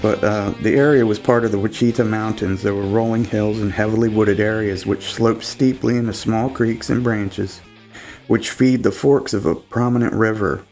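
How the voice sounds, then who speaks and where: Congested nasal man, low voice. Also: steady tone, moderate pace, darkish tone, faint music behind. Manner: laid-back, rambling asides with fillers. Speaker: host. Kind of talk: casual narration of an encounter story.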